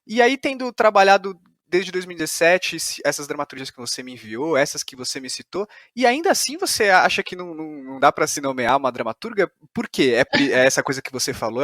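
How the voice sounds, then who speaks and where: A man's voice: mid-range voice, a bit bright, no room sound. Dry-sounding Brazilian man interviewing casually. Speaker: podcast host, interviewer.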